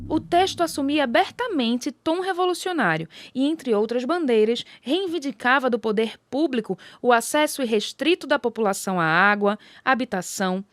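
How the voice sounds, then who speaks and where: A woman's voice: high voice, no room sound. A Brazilian woman reading a scripted documentary narration as the narrator. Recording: music behind the voice. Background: background music.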